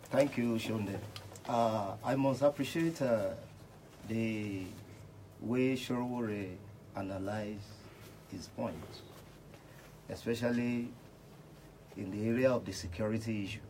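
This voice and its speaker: A man's voice: on the low side, slow, long pauses, mellow, slight background noise, poor audio. A man with a Nigerian accent debates politics as a radio guest, oratorical and insistent, often repeating phrases. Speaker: radio panel guest, reverend. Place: radio station.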